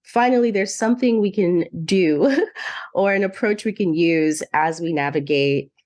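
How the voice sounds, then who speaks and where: A woman's voice: fairly low pitch, unhurried, a little mellow. A young adult woman hosting a podcast, talking casually with many fillers. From Zambia, raised in the US. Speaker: podcast host. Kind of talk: casual interview chat.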